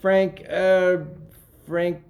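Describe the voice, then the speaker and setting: A woman's voice: lowish voice, monotone, extremely slow, darkish tone. A woman playing a wily male schemer in a stage farce, bossy and evasive as he stalls and improvises cover-ups. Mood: smoothing over, evasive. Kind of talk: stage farce play reading.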